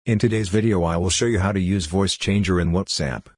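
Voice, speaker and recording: A man's voice: deep voice, steady tone, a little fast, darkish tone, no echo, studio quality. A man narrating a step-by-step tutorial as presenter, in an instructional way with non-native English phrasing.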